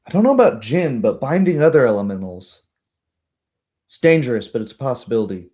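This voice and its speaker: A man voicing a small, brisk female gnome scholar in a tabletop game, matter-of-fact in manner. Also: medium pitch, sing-song, mellow, pitched above the performer's own voice, close to an everyday voice. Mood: wary, considering.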